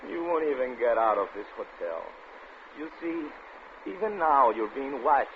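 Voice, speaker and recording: An actor playing a wry, guarded soldier of fortune in a 1940s radio drama. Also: male voice, mid-range voice, very animated, measured pace. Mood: grim. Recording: tinny, noisy old radio recording.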